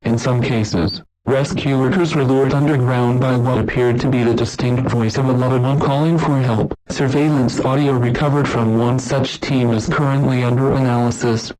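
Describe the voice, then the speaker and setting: A man delivers a scripted emergency broadcast as an announcer, formal, measured and impersonal. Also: medium pitch, fairly even intonation, a little mellow, a little hiss or hum, poor audio.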